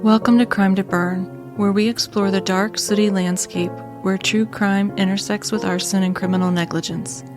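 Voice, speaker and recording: A woman hosting a true crime podcast, reading scripted narration in a soothing, measured way. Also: mid-range voice, steady tone, music in the background. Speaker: podcast host.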